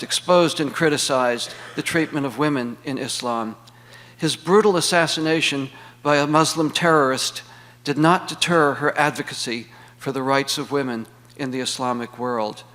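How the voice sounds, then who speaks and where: A man's voice: fairly high pitch, a bit bright. A man giving a formal scripted introduction as the host of an event. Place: large hall, public event. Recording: reverberant hall sound.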